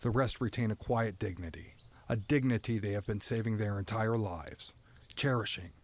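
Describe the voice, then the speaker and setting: A man playing a stoic, resigned prisoner who narrates an audio drama in a somber, deliberate, poetic storytelling manner. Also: fairly low pitch, natural voice. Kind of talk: scripted first-person dramatic narration.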